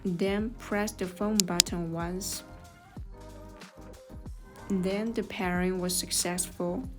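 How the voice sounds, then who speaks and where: A woman narrates a scripted how-to guide at a very slow, deliberate pace. Her voice sits a little low, and she takes each instruction in a measured way. Music plays clearly behind her. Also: steady tone. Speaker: tutorial narrator. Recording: voice over clear background music.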